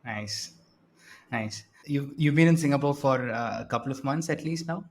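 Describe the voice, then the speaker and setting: A man's voice: medium pitch, moderate pace, echoey room. A man hosting an investment interview show, reading a formal guest introduction and then asking questions in a friendly way. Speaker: podcast host.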